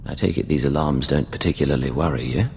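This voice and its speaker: An actor playing a cool, guarded imperial intelligence agent in a video game, speaking in a terse, composed way. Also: male voice, a bass voice, fast, creaky, darkish tone, long reverb tail, slight background noise. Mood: watchful. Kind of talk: video game dialogue acting.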